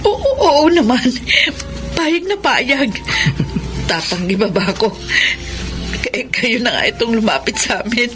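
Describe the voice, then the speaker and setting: A humble, heartfelt older sister in a radio drama. Her fairly high voice is earnest and emotive, with music clearly underneath. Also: sing-song, measured pace, long pauses. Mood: grateful, moved. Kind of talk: Filipino radio drama acting.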